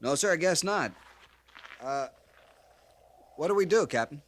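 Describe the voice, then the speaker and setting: An actor playing an eager, anxious young cavalry lieutenant, clipped and deferential, in a 1950s radio western. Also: male voice, on the high side, animated, a little slow, a bit bright. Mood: uneasy, deferential. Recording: noisy vintage 1950s radio recording.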